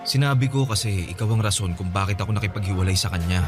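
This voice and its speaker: A lowish male voice of a guilty young man, played by an actor in a radio drama, pleading earnestly and stumbling over his words. He sounds sorry but insistent. Quiet music sits underneath. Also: quick pace, close to an everyday voice. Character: remorseful young man pleading for another chance. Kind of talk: Tagalog radio drama acting.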